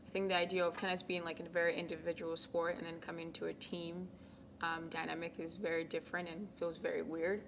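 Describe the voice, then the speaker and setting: Young woman from Ghana, college athlete, low even voice, soft-spoken with lots of ums, noisy background. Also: a little fast. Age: young adult. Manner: soft-spoken, many ums, drops to near whispers. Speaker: college tennis captain. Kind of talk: casual interview answers.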